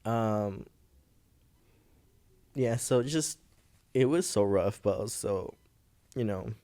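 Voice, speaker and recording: Young guy, visayan, talks slow and halting with a gravelly edge, searching for words. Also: fairly low pitch, animated. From Philippines. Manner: soft-spoken, halting, searching for words, laughs nervously. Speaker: podcast guest. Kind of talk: personal story in casual interview.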